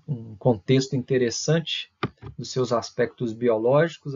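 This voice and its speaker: Husky man giving a lecture. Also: mid-range voice, a little mellow, lo-fi sound. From Brazil. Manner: hesitant, many fillers and restarts, frequent tag questions. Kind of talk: recorded university lecture.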